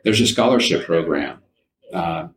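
A man's voice: sits low, animated, measured pace. A middle-aged man, telling his own story conversationally and modestly as an interview guest. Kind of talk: casual personal storytelling in an interview.

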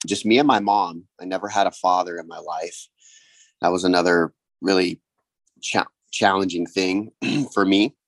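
A man from Hawaii, a guest on a podcast, talking about his life in a hesitant, reflective way with many pauses and restarts. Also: sits low, no echo, rough recording. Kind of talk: casual interview.

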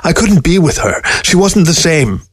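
A man's voice: on the high side, sing-song, moderate pace, breathy edge, no room sound, clean studio sound, pitched above the performer's own voice. A man voicing a weary, grieving old man in a story, defensive and pleading. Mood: anguished, defensive. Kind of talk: storybook character dialogue.

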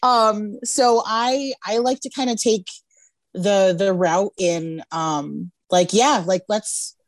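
A woman guest who reads tarot, talking frankly over a video call, laughing often and filling pauses with "um" and "like". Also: mid-range voice, no room sound. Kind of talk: casual chat.

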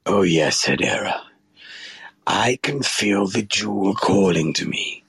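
A man playing a sinister, gloating villain lord in an audio drama, with slow, dramatic, menacing delivery. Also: deep voice, expressive, a bit bright, husky, dry recording, heavily acted. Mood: gloating, assured.

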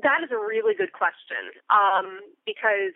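Heard over a narrow, telephone-like connection with no room sound, an American woman in her thirties gives interview answers. She is conversational and thoughtful, choosing articulate phrases and leaning deliberately on certain words. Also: mid-range voice, expressive, dry recording. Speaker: interview guest, theater company member and Foley artist. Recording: thin, phone-like remote line.

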